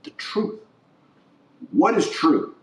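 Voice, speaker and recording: A man's voice: a little high, very animated, takes it slowly, darkish tone. A middle-aged man hosting a podcast, giving emphatic opinion commentary in short, repeated declarative phrases. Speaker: podcast host. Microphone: webcam setup. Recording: roomy echo, distant microphone.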